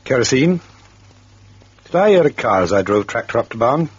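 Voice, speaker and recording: A man's voice: medium pitch, big pitch swings, normal speed, slightly rough. A man playing a jealous, menacing farmer with a rural English accent, blunt and clipped, in a radio drama. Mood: suspicious. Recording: muffled old radio recording.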